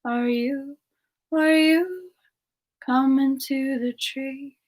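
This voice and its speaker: A woman playing a sweet, affectionate young female frost demon, soft-spoken and hesitant, answering questions in a semi-ASMR live stream. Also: sits high, extremely slow. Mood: soft, wistful.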